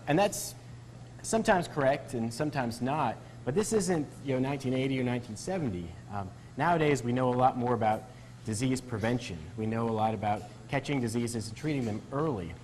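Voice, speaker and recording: A man's voice: medium pitch, lively intonation, brisk, a little mellow, low-quality recording. A man speaking as a doctor being interviewed, explaining things in a conversational way.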